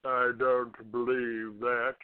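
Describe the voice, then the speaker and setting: A man hosting a call-in show, ranting off the cuff in a halting, accusatory and combative manner. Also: medium pitch, level tone, clean tone, dry recording. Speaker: internet call-in show host. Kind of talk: unscripted talk show rant. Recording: narrow, tinny phone-like line.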